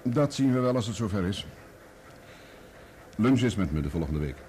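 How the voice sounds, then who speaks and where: An actor playing a brisk newspaper boss in a Dutch radio play, decisive and dismissive. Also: male voice, on the low side, animated, warm tone, natural voice. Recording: old radio play, clear background noise.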